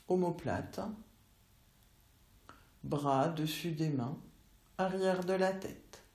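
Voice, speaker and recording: A woman teaching yoga nidra, guiding relaxation in measured instructional phrases with long pauses. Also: on the low side, dark tone. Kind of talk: slow guided relaxation meditation. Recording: roomy, echoey voice recording.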